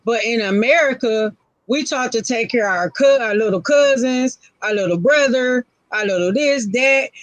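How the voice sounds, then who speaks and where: A woman's voice: a little high, normal speed, dry recording. A woman in her forties speaking frankly on a casual live-stream panel, in short, repeated phrases. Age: 40s.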